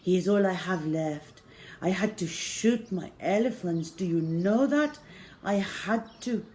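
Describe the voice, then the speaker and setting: Storybook role: weary old French gentleman played by a woman, frail, regretful, unhurried delivery. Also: on the low side, slight background noise, natural voice. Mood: sorrowful. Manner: halting, regretful, speaking some French.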